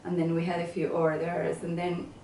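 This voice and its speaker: A woman's voice: fairly low pitch, monotone, moderate pace, dark tone. A woman who grew up in England and Venezuela, talking about her design work as an interview guest, hesitant and full of fillers and restarts. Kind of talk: casual interview answers. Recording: echoey room, mic at a distance, faint noise.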